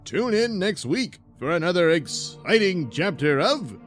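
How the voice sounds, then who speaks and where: A man's voice: sits high, big pitch swings, a little slow, a bit bright, soft background music. A man narrating a space-adventure serial in a dramatic, scripted announcer style.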